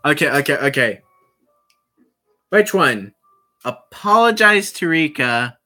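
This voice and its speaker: Very slow-talking, bright-voiced streamer. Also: mid-range voice, very animated, faint music behind. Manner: chatty, rambling, with many restarts and apologies. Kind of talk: casual live-stream chat while playing a visual novel.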